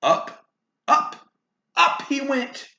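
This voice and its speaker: Animated, bright-voiced woman storyteller. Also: high-pitched, drawn-out words, vocal fry, muffled recording. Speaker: read-aloud storyteller. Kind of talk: animated children's story reading with casual asides. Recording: roomy echo, faint background noise.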